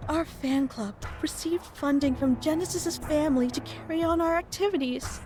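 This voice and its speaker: A woman's voice: high voice, moderate pace, close to an everyday voice. A woman playing an earnest young fan club member in a video game. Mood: distressed. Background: game music.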